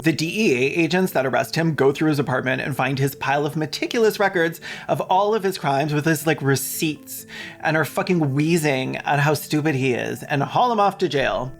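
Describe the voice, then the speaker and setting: A mid-pitched commentator narrates a video essay over music. The delivery is sarcastic and mocking, slipping into casual, sweary asides. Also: normal speed.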